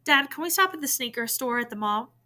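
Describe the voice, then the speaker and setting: A woman's voice: sits high, lively intonation, fairly quick, sharp tone. A woman voicing an eager, cheeky teenage boy who asks questions in a story.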